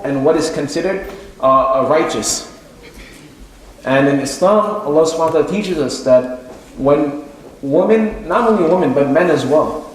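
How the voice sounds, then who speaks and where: A man giving an Islamic religious lecture, explaining haltingly and repeating key phrases for emphasis. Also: fairly high pitch. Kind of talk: sermon-like lecture retelling and explaining a religious story. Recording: very echoey room with faint noise.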